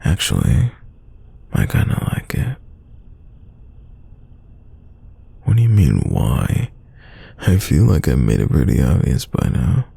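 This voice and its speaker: Man acting a very deep, gravelly teasing crush. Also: wildly swooping pitch, unhurried, heavily acted. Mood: teasing, fond. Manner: playful, teasing, soft close delivery. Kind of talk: romantic roleplay audio, intimate one-sided dialogue.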